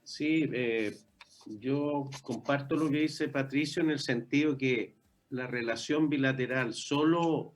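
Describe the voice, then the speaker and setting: A Chilean man with a Chilean accent, talking casually on a radio discussion show and pausing often with fillers. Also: medium pitch. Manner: conversational, many hesitations and fillers.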